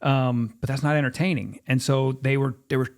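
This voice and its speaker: A man's voice: medium pitch, fairly quick. A man in his fifties telling stories casually as an interview guest, acting out bits of past conversations as he goes. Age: 50s. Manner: animated retelling with quoted dialogue. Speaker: podcast guest, former sports mascot performer.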